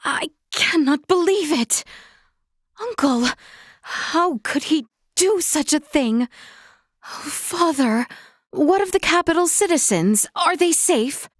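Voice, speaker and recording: A woman voicing an earnest, dutiful young royal heroine in a video game, speaking in formal, archaic, measured phrases. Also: high voice, expressive, no room sound, studio quality. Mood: distressed. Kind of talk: video game character voice acting.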